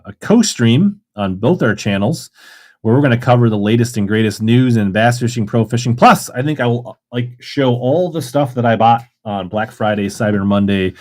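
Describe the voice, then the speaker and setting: Casual male auction host, slightly low voice, loose and chatty. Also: a little mellow. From Minnesota. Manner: rambling, chatty, reads chat aloud. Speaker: live auction seller. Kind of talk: casual livestream selling.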